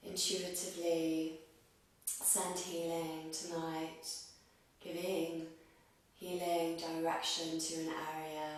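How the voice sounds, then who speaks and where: Slow, echoey woman guiding meditation. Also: low-pitched. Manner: gentle, unhurried, pausing between short phrases. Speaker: yoga nidra and sound bath teacher. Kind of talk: guided meditation, slow soothing instruction. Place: reverberant room, recorded from a distance. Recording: very echoey room, distant microphone.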